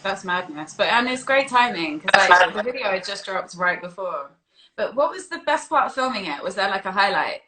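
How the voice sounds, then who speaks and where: Woman interviewer on a live stream, really bright crisp-edged voice, chatty and giggly. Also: medium pitch, animated, normal speed, low-quality recording. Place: remote social media live video stream.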